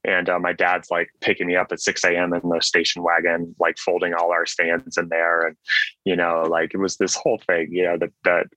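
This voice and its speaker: A man with a very low voice and a bright, forceful edge talks casually as an interview guest. He is chatty and self-deprecating, often checking in with "right?", and the sound is close and dry. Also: completely flat delivery, a little fast, no echo, rough recording. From Switzerland. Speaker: podcast guest, solo business owner.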